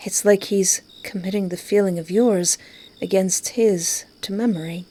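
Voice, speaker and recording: A woman's voice: mid-range voice, fairly even intonation, unhurried, mellow. A woman reading a visual novel aloud expressively, with playful, giggly reactions. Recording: music behind. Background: game music.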